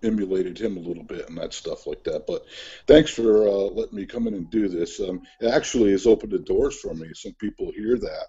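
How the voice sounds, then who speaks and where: A man with a slight New York accent and a husky voice, chatting casually as a voice actor. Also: low voice, fairly quick, mellow. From northeastern United States. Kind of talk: casual chat. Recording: dull lo-fi internet call.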